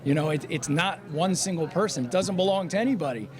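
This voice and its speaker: Male shop owner, fairly high voice, conversational soundbite over clear outdoor crowd noise. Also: fast. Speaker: shop owner being interviewed. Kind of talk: conversational news interview. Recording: outdoor street with crowd chatter behind.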